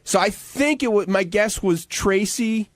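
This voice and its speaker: A man on a radio show's staff, earnest and probing, who talks haltingly in studio banter. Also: high-pitched, no room sound. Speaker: radio show staff member. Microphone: studio microphone.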